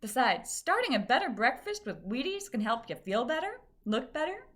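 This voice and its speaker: Casting: actress as cheerful radio ad saleswoman, 1950s style, very animated, higher-pitched, bright delivery. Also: moderate pace. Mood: upbeat selling. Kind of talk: 1950s radio commercial acting.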